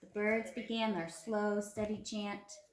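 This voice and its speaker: A woman's voice: medium pitch, flat delivery, slow. A young woman teacher leading a children's read-aloud lesson, encouraging and prompting with simple questions, recorded on a phone. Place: small indoor room at home. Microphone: phone at arm's length. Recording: roomy, echoey phone recording.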